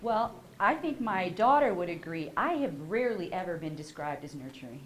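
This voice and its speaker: A woman giving a university lecture, animated and asking the audience questions as she goes. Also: lowish voice, normal speed, slight background noise, low-quality recording. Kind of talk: public lecture. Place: lecture hall.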